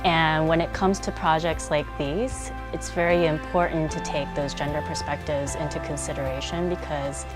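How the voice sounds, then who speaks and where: A woman, a project manager, giving documentary interview answers in a measured, thoughtful way. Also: low voice, fairly even intonation, over loud music.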